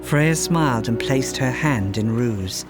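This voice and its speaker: A storyteller giving an expressive scripted reading of a children's fairytale, with music underneath. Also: medium pitch, slow pace.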